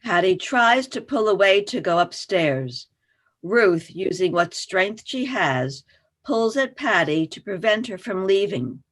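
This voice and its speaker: A woman's voice: medium pitch, animated, measured pace. A woman reading scripted stage directions as narrator for a play reading.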